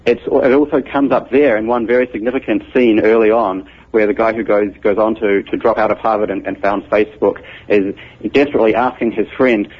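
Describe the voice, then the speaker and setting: Man, New Zealand accent, husky voice, patient methodical explainer, muffled radio sound. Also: fairly low pitch, steady tone, brisk. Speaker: guest author, computer scientist. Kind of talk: explanatory interview answers. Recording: muffled radio broadcast audio.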